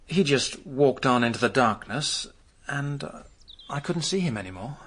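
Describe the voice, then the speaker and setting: A man's voice: medium pitch, animated, normal speed, a bit bright. An actor playing a vague, easygoing British village drunk in a radio drama, rambling hesitantly as he recalls things. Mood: hesitant, puzzled.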